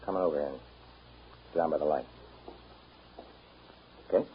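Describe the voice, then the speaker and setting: Deep-voiced, fatherly American storyteller. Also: normal speed, slight background noise. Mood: fatherly, earnest. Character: plain-spoken American man, fatherly storyteller teaching a boy. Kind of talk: 1930s radio drama acting. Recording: muffled 1930s radio recording with music.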